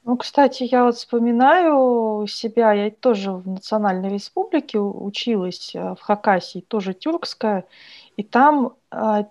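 A woman talks about her own experience with lively emphasis, her voice in a middle range. The sound is dry and dull, like a lo-fi connection. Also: on the high side, unhurried, no echo. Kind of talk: conversational discussion.

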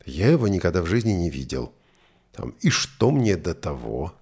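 A man talking conversationally in a discussion, earnestly and deliberately, with long pauses as he reflects and tells stories. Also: low voice, very animated, a little mellow, slightly rough.

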